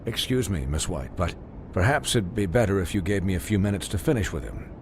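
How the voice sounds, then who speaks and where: An actor playing a dry-witted, weary doctor in a video game, formal, courteous and a little condescending. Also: male voice, sits low, animated, fairly quick, noisy background. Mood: impatient, polite.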